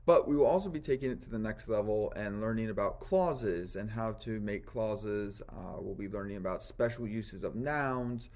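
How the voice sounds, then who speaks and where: A man's voice: on the low side, moderate pace, few pauses, a little mellow. An American man, a Latin teacher, giving an informal introduction to his course.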